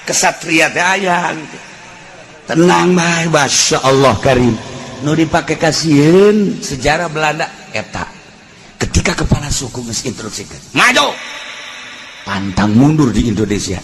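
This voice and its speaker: A man with a Sundanese accent preaching in an animated, earthy, joking storytelling style. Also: on the high side, a little slow, slight background noise. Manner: animated, breaks into shouts and laughter. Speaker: Islamic preacher. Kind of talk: humorous storytelling sermon.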